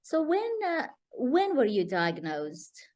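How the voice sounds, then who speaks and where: A woman hosting a casual interview, speaking in a measured way and slowing down on key phrases. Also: on the high side, huge pitch swings, darkish tone. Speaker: host.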